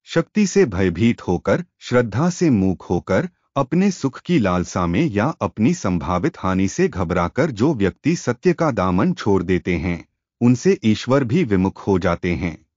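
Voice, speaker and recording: A man reads the part of a devout young princess in a storybook tale. The delivery is modest yet principled and formal, with lively intonation. The audio is dry and slightly lo-fi. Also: on the low side, unhurried, no echo. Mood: firm, principled.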